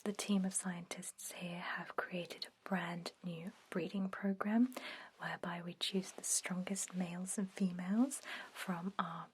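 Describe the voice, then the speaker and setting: A woman playing a chatty, bossy vampire lab worker who trains a newcomer, speaking in a soft whisper as ASMR roleplay. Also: on the low side, little pitch movement, a little slow, a little hiss or hum, natural voice, low-quality recording. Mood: chatty, enthused. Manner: softly spoken, instructive, conversational.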